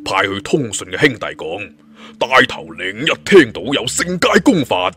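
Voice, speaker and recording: A man's voice: lowish voice, sing-song, moderate pace, fairly bright tone, a little hiss or hum. A man voicing a boastful, fawning bandit underling in a Cantonese audio story, hearty and swaggering, with a Hong Kong accent. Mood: eager, respectful.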